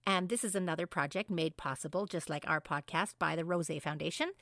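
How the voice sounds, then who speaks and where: Woman podcast host, middle aged, bright voice, dry close recording, chatty announcer. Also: fairly low pitch, brisk, no echo. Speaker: podcast co-host, professional improviser. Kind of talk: announcing listings and bantering.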